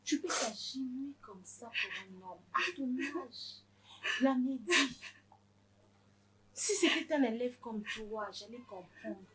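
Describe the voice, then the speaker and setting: A woman's voice: on the high side, lively intonation, takes it slowly, a crowd in the background, poor audio. A Congolese woman playing a furious, wounded middle-aged mother in a film drama, scolding insistently and repeating herself. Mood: bitter reproach. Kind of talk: improvised-sounding melodrama, switching between French and Lingala.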